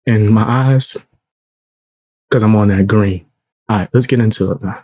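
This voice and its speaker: A man with a naturally low, deep voice chats through a live stream in relaxed, slangy asides. His voice sounds close and dry, with no room echo around it. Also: normal speed. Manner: laid-back, slangy, chuckling asides. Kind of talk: casual reaction commentary.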